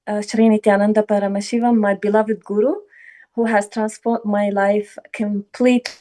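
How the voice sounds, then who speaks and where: A woman's voice: medium pitch, warm tone. A woman originally from Iraq, sharing a heartfelt personal story as a guest on a live call. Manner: earnest, emotional. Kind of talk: heartfelt testimony.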